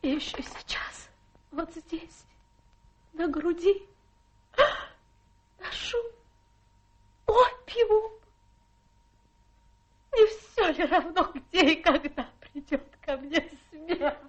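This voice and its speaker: A woman's voice: very high-pitched, extremely slow, long pauses, exaggerated voice. An actress playing a self-pitying adventuress who poses as a tragic fallen lady in a radio play, melodramatic, plaintive and coquettish. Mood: tearful, desperate. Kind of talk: theatrical radio play acting.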